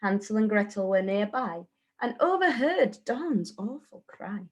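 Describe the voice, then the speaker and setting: A woman reading a rhyming children's story in a deliberate, sing-song way, as a teacher would at story time. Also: mid-range voice, big pitch swings.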